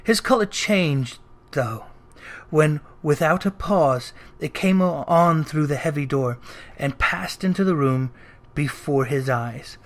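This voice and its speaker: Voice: medium pitch, slow pace. A narrator reading a classic tale aloud as dramatic storybook narration. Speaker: narrator, reader.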